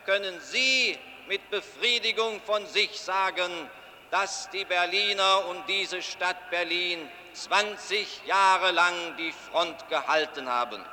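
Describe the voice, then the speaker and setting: A man interpreting a speech live, measured and slightly halting as he corrects himself, in a reverberant archival recording with faint noise. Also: high voice, sharp tone.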